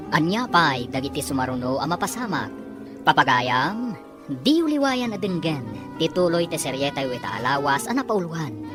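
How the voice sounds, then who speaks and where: A woman radio drama announcer reads with a lively, dramatic swing in her voice. Her pitch sits low, and music plays clearly behind her scripted narration. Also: normal speed.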